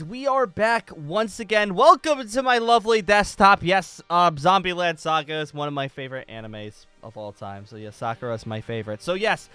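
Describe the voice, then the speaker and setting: A man's voice: high voice, pushed and bright, clean tone, no room sound. A young man giving a casual, rambling gaming tutorial, repeating phrases for emphasis. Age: young adult. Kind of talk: casual off-the-cuff tutorial commentary over gameplay. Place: indoor room at a computer desk.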